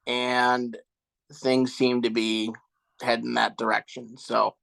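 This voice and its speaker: Young male co-host, laid-back chat, halting and unsure, mid-pitched voice. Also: creaky. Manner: relaxed, hesitant, trails off with ums. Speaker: podcast co-host. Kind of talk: casual chat.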